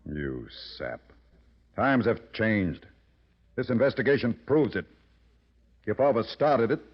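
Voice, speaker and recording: Casting: tough racketeer boss, low gruff voice, scornful, 1940s radio crime drama, muffled old broadcast. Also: male voice, sing-song, slow pace, exaggerated voice. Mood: scornful, impatient. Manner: tough, dismissive gangster talk. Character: gruff, irritable male nightclub owner and racketeer.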